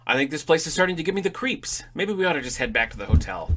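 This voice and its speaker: A man's voice: a little high, sing-song, quick pace, bright, forceful tone, heavily acted. Man acting as a dry, grumbling dad. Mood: dry, uneasy. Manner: dry, sarcastic. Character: wry, grumbling, uneasy father exploring an inherited house. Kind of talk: audio drama comedy acting.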